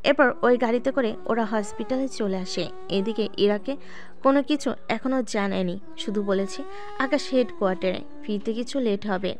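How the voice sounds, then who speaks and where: A woman's voice: mid-range voice, fairly quick. A woman narrating a scripted audio story. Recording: background music under the voice.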